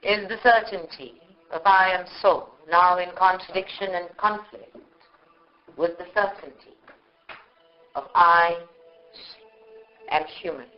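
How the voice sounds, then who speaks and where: A woman guides a meditation at a very slow pace. She speaks in short, measured phrases and leaves long pauses between them. The live recording sounds dull and lo-fi. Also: on the low side, soft background music. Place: live group meditation session.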